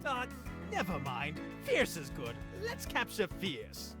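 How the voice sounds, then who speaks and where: An actor playing a brisk, effusive photographer in a story game, commanding and expressive, over music. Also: medium pitch. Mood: delighted. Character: brisk, effusive fashion photographer directing a shoot.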